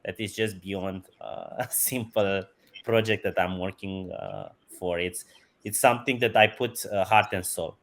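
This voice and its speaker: A man's voice: low voice, expressive, measured pace, fairly bright tone. A man, enthusiastically answering interview questions and chuckling often. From Romania. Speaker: guest, company CEO.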